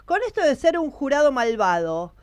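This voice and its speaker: Argentine female, early fifties, crisp smooth tone, higher pitch, conversational questioner. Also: big pitch swings, normal speed.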